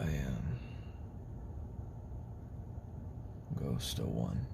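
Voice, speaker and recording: Weary, guarded former hitman, played by a man, audio roleplay confession; heavy vocal fry, halting breathy delivery, clearly noisy tape. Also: very deep voice, full character voice. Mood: reluctant, guarded. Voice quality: very gravelly, fry-laden, breathy murmur. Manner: halting, hesitant, self-doubting, trailing pauses.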